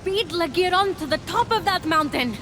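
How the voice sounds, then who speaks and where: A woman's voice: very high voice, expressive, normal speed. A woman playing an eager but unsure young heroine, someone's little sister, in a video game. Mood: resolved. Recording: clear music behind the voice.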